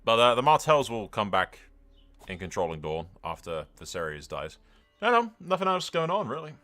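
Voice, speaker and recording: A man's voice: mid-range voice, sing-song, normal speed, sharp tone, soft background music. A man giving casual, informal commentary on a game playthrough, with wry asides. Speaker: host of a gaming channel.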